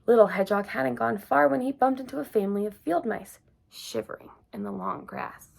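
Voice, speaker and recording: A woman telling a children's story aloud, gentle and expressive. Her voice sits slightly high, with a strong gravelly creak and a husky, breathy edge. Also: fairly quick.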